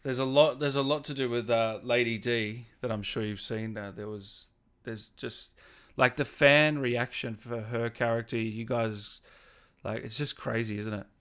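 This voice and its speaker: A man's voice: mid-range voice, steady tone, measured pace, a bit bright, clean tone. A man hosting a casual livestream interview, chatting and reading out comments from viewers. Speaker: interview host.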